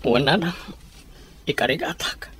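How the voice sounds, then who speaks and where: A man's voice: fairly high pitch, expressive, measured pace, fairly bright tone, breathy edge, exaggerated voice. An actor playing a heartbroken middle-aged father in an Ilocano radio drama, speaking emotionally and pleadingly with an Ilocano accent. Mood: bitter, hurt.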